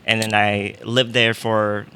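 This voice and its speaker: Bright-voiced man, hesitant. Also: fairly low pitch, flat delivery, takes it slowly, a little hiss or hum. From Taiwan. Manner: hesitant, many fillers and restarts. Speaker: guest, assistant professor. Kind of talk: casual podcast interview.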